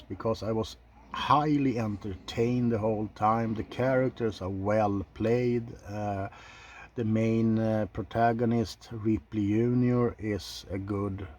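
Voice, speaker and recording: A man giving unscripted ASMR film commentary in a soft, low-key, halting way, blunt and opinionated with casual swearing. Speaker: video host reviewing films.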